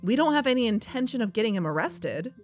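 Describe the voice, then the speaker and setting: A woman's voice: on the high side, big pitch swings, fast, darkish tone. A woman playing a wry, earnest investigator who co-hosts a podcast, narrating in a conversational, confiding way. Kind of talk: conversational scripted audio drama narration. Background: background music.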